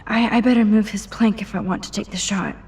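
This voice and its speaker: A woman voicing a soft-spoken young female student. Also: medium pitch, steady tone, a little fast, natural voice. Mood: musing. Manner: soft murmured inner asides, some whispered. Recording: game audio heard through speakers.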